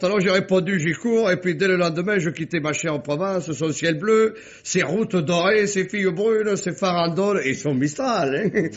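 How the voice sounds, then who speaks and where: A theatrical, shrewd detective, muffled old radio. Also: male voice, high-pitched. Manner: theatrical, effusive, exclamatory. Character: shrewd detective posing as jovial provincial family friend. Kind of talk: vintage French radio drama acting. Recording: dull old radio recording.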